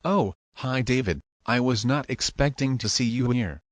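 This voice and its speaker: A man's voice: mid-range voice, moderate pace, no room sound, close to an everyday voice. A man playing a whiny, complaining young man who is annoyed at his computer. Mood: sheepish.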